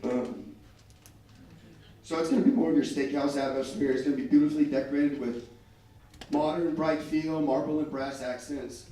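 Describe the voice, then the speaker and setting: A man's voice: a little high, fairly even intonation, moderate pace, pauses often, warm tone, slight background noise. A man presenting his new restaurants at a business meeting, losing his voice, upbeat and promotional. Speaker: restaurant representative. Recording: echoey room, far from mic.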